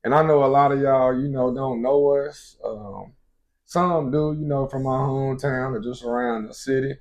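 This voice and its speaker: A man in his late twenties talks casually to his viewers, loose and unhurried. His voice sits at an ordinary middle pitch, and his speech is full of easygoing filler phrases. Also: steady tone, darkish tone. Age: 20s. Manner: laid-back, frequent fillers like "you feel me". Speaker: vlogger, expecting father. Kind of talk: casual couple Q&A chat.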